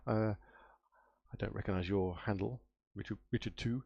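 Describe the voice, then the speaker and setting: Low, gravelly, nasal man coding. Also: steady tone, moderate pace, dark tone, muffled recording. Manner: muttering to himself, trailing off and spelling words out while searching. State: nasal from a cold. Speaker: game developer live-streaming his coding. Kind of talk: casual thinking-aloud coding stream.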